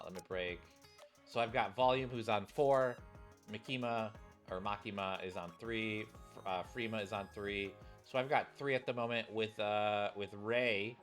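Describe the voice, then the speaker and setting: A man's voice: fairly low pitch, a bit bright. A man who streams games, speaking in an informal, casual commentary style. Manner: informal, rambling, addresses viewers. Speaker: gaming streamer. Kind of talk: casual game commentary and reading aloud of story text. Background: game music.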